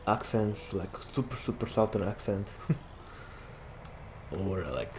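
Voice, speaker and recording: A young man with a Slovak accent and a slightly low voice rambles casually through his gameplay, leaning on "like" fillers. Clear engine and vehicle noise from the game runs under his desk-mic recording. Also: expressive, takes it slowly. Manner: rambling, chatty, heavy use of "like" fillers. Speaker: gaming YouTuber.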